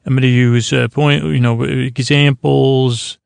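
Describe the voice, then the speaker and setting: A man with a creaky, gravelly voice hosting a sleep podcast, giving a halting, meandering, soothing bedtime monologue full of digressions. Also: mid-range voice, little pitch movement, dry recording. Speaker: podcast host. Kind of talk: rambling bedtime monologue for falling asleep.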